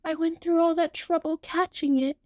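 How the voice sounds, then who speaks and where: An eccentric teen boy director, theatrical and excitable, as a woman puts him on. The voice rides high with a lively lilt, recorded dry. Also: normal speed, no room sound. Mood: eager.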